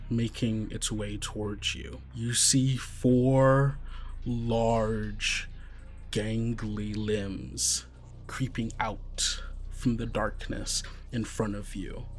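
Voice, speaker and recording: A man runs a tabletop game, narrating dramatically. His mid-pitched voice moves slowly and deliberately, building suspense, with soft music underneath. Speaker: game master.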